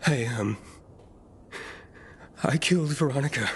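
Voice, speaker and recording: A man's voice: medium pitch, unhurried, close to an everyday voice. Driven young male survivor, man in the role; urgent, strained reads over ambient game noise. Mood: grim. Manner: urgent and strained, shouting in danger. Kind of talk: video game cutscene acting. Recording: game audio with clear ambience.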